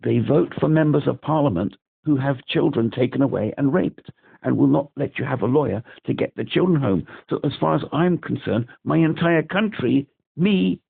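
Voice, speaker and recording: Male British caller on a phone line, very gravelly and husky, agitated and rambling. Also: fairly high pitch, animated, moderate pace. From Britain. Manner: rambling, agitated, repeats himself. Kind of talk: phone call to a helpline. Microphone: telephone.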